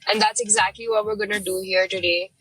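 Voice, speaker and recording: A woman's voice: medium pitch, fairly even intonation, fast talker, fairly bright tone, a little hiss or hum, poor audio. A woman hosting an interview, upbeat and welcoming as she opens the conversation and asks questions. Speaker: podcast host. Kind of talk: friendly scripted interview opening.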